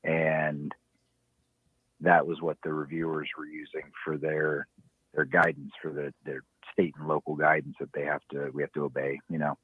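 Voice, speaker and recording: A low, husky man calls in on a thin, phone-like line. His voice sits very deep, with a dry, rough edge. He rambles in a casual way and often restarts his sentences. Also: little pitch movement, normal speed, dry recording. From Ohio. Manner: rambling, hesitant with many restarts. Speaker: caller.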